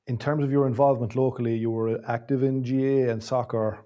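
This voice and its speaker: A man's voice: medium pitch, flat delivery, fast talker, mellow, lo-fi sound. An Irish man hosting an interview, probing and rephrasing his questions as he goes. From Ireland. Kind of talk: conversational interview.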